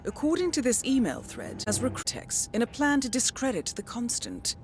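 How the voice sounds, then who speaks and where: A woman playing a composed, wry intelligence handler briefing an agent in a video game, crisp, dry and poised. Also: a little high, sing-song, normal speed, noisy background. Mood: brisk briefing. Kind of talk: video game mission voice-over.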